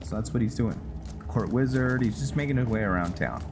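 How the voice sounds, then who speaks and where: A man giving relaxed, casual let's-play commentary on a roleplay game, thinking aloud as he goes. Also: medium pitch, brisk. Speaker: gaming YouTuber. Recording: clear game music behind.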